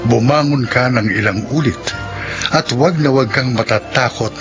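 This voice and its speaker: A man's voice: medium pitch, moderate pace. Male announcer for radio drama closings and credits, scripted delivery, slightly muffled broadcast sound, prominent music bed. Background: loud music.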